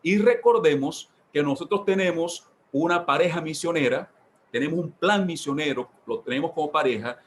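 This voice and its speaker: A man's voice: a little high, normal speed, a little hiss or hum. Man preaching, repetitive emphatic delivery. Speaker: pastor leading a church workshop. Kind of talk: informal sermon, seminar teaching.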